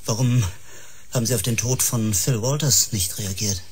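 A man's voice: medium pitch, normal speed, slight background noise, natural voice. An actor playing a bitter, outraged man in a sci-fi radio drama, accusing and agitated. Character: bitter, outraged man confronting his oppressors.

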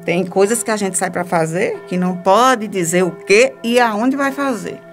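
A woman's voice: mid-range voice, normal speed, faint music behind, lightly acted. A woman playing a discreet, matter-of-fact church worker in a radio soap opera.

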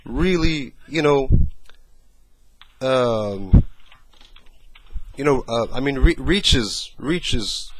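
A man's voice: mid-range voice, no echo. A man, talking earnestly with many fillers from a small bedroom, then pleading on a phone call. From Morocco. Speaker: activist caller.

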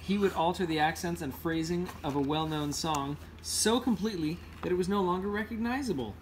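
A man with a slightly high voice reads a picture book aloud in an informal way. He sometimes trips on a word and fixes it as he goes. The amateur recording has faint background noise. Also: fairly quick. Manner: informal, stumbles over words and corrects himself. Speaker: read-aloud host. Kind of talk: reading a children's picture book aloud with casual asides.

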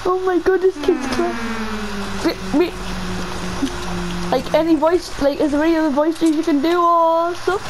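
A young gamer chatting casually and excitably over game voice chat. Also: extremely high pitch, moderate pace, long pauses, music in the background, poor audio. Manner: excitable, giggly. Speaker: video game player. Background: loud game noise.

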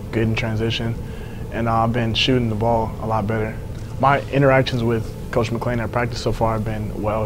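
A young American man in his late teens giving plain, earnest, measured interview answers as a college basketball player. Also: lowish voice. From Texas, United States. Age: young adult, late teens. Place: indoor room at a sports facility, seated. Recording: clear background noise, room ambience.

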